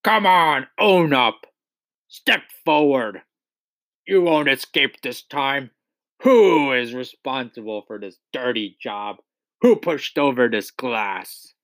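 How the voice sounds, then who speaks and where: A man voicing a huge, furious headmistress in a storybook, roaring out drawn-out accusations like a tyrant. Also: mid-range voice, lively intonation, fairly bright tone, dry recording, voice pushed up. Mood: enraged, accusing.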